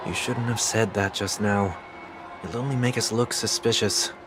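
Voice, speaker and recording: An actor playing a grave, dignified and reflective gentleman in a video game, speaking in a formal, measured way. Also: on the low side, steady tone, over loud music, lightly acted. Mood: dryly reproachful. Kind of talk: scripted video game voice acting.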